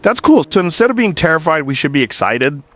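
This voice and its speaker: A man's voice: fairly high pitch, very animated, fairly quick, fairly bright tone. A man playing a laid-back, curious podcast host in an audio drama, talking in a chatty, musing and informal way. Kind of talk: casual podcast interview in a scripted audio drama.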